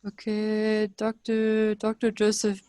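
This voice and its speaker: A woman's voice: medium pitch, completely flat delivery, takes it slowly, mellow, dry recording. A woman moderating an online webinar, giving participants practical instructions and calling on them to speak.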